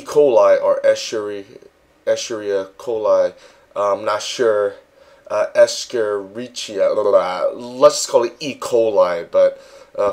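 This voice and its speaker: A man narrating a casual walkthrough as a virtual world tour guide, relaxed and halting, with frequent ums. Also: lowish voice.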